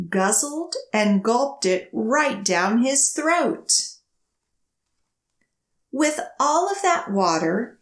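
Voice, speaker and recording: Female children's storyteller, higher-pitched, very animated and sing-song, measured phrasing, in an echoey room. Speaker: children's librarian leading storytime. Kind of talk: read-aloud picture book storytelling and action rhymes for children.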